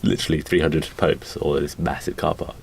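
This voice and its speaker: A man's voice: very deep voice, flat delivery, a little fast, gravelly, slightly rough. A man in casual improvised comedy chat as a podcast co-host, bantering with mock disbelief and some swearing.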